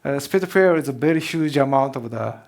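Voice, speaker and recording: A man with a Japanese accent, a professor answering seminar questions in halting English and repeating words for emphasis. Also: medium pitch, animated, vocal fry, darkish tone. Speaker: professor, expert speaker.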